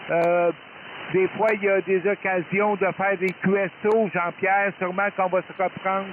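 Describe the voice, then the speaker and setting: Through a thin, hissy shortwave link comes a man's high-pitched voice. He talks like a ham operator making contact, courteous and hesitant, often doubling back on his words. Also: level tone, moderate pace. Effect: shortwave radio transmission. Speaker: amateur radio operator. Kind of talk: unscripted ham radio exchange. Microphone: radio transceiver microphone. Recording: narrowband hissy shortwave radio signal with noise.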